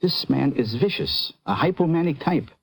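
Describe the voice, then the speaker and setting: A formal, husky, animated immigrant doctor. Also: male voice, a little high, normal speed, no room sound, full character voice. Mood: impassioned. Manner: formal, precise, indignant. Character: proud, defensive immigrant European doctor, formal and earnest. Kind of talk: vintage radio drama acting.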